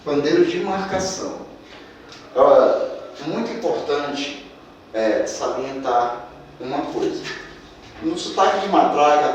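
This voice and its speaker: A man's voice: on the high side, background noise. A man teaching a percussion workshop, counting beats aloud as he demonstrates drums. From Maranhão, Brazil. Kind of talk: instructional demonstration. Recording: echoey room, mic at a distance. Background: percussion instruments being played.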